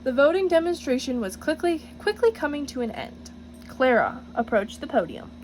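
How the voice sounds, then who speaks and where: A woman reading a picture book aloud to children in an encouraging, teacherly way, pausing to address them directly with questions. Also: high voice, sing-song, darkish tone, a little hiss or hum, low-quality recording. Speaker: storybook reader for children, student teacher.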